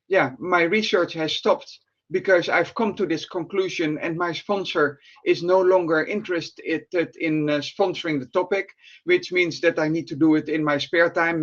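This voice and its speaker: Dutch man, hesitant, muffled remote audio. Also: fairly high pitch. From Netherlands. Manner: hesitant, many fillers. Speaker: presenter. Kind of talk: remote conference presentation. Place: remote at home at night.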